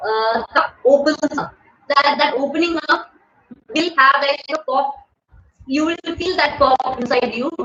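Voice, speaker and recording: Indian English woman, 30s, high and loud, casual livestream host; echoey bedroom, dull phone audio. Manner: chatty, laughs often, thanks viewers by name. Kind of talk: casual live Q&A. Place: bedroom at home. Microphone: phone camera at desk distance.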